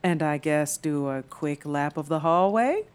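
A small-town woman in an audio drama, talkative and patient with a gently wry edge. Her voice sits a little low and sounds natural and conversational. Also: animated, measured pace, clear, resonant tone. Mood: friendly, tentative.

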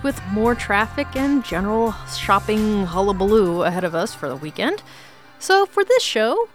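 A woman's voice: medium pitch, a bit bright. A woman hosting a show, reading a scripted introduction. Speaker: podcast host.

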